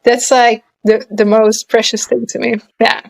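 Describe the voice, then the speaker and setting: A woman's voice: a little high, little pitch movement, measured pace, gravelly, poor audio. Dutch woman chatting in English. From Netherlands. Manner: chatty, searches for English words, many fillers. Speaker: guest, pole dancer and movement artist. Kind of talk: casual interview chat.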